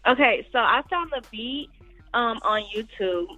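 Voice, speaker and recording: A woman's voice: fairly high pitch, expressive, measured pace, pushed and bright, soft background music. Chatty Southern woman singer, lo-fi sound. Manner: chatty, laughs often, addresses listeners as y'all. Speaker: guest singer. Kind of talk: casual interview chat.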